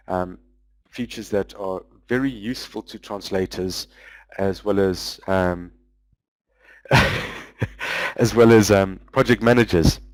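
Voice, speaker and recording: A man giving a webinar presentation, walking through software features in a loose, explanatory way with frequent pauses. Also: on the low side, no room sound, poor audio. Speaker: business development manager presenting a webinar.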